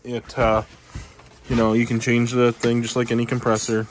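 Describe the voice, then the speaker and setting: A man's voice: mid-range voice, completely flat delivery, normal speed, noisy background. Guy rambling thru a garage tool review on his phone, lots of ums, blunt and a bit profane. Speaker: channel host reviewing tools. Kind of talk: casual off-the-cuff product review. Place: cluttered garage workshop, indoors. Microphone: handheld phone camera, first-person view.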